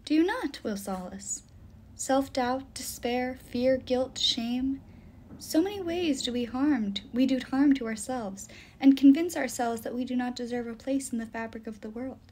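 An ancient river nymph from a fantasy audiobook, voiced by a woman reader. She speaks softly and formally, pitched up a little, in measured phrases. Her manner is mysterious and patient, with a serene sadness. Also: slight background noise, lightly acted. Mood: wistful, solemn. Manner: soft-spoken, formal, measured. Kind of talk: audiobook character dialogue.

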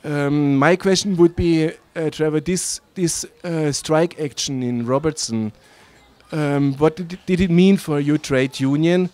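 A man in his forties interviewing a guest outdoors, asking questions hesitantly with many pauses, into a handheld mic. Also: a little high, a little mellow, dry recording. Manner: hesitant, many fillers and restarts. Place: outdoor garden event.

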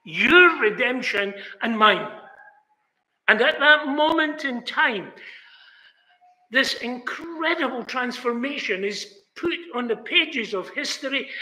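A man's voice: extremely high pitch, sharp tone. Older man preaching earnestly, echoey chapel. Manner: earnest, rising to exclamations. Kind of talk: sermon. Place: chapel hall, indoors. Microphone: fixed camera, seated mid shot.

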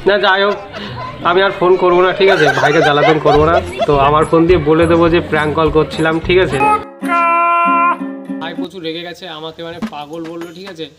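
A young man talks quickly in a high voice, presenting prank calls as a casual vlogger. His chat is informal and repetitive, recorded outdoors on a phone with music laid over it. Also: steady tone, over a noisy crowd. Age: 20s. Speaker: prank-call video host. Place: outdoors beside a wall and metal shutter.

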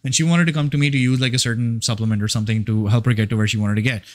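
A man's voice: mid-range voice, steady tone, rapid-fire. Rambling, profane male fitness coach. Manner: rambling, profane, emphatic, with frequent restarts. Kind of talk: casual motivational monologue.

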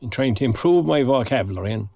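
Gravelly older Cork man, mild. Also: medium pitch, expressive, fast talker, mellow, no echo. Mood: mild, matter-of-fact. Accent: Irish, County Cork. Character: retired older Irish man, mild, dignified, unassuming. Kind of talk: naturalistic audio drama acting.

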